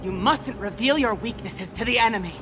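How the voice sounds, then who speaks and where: A woman's voice: fairly high pitch, fairly quick, background noise, natural voice. An actress playing a fierce, defiant warrior queen in a video game, speaking in an impassioned, commanding and formal way. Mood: outraged. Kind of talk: dramatic video game acting.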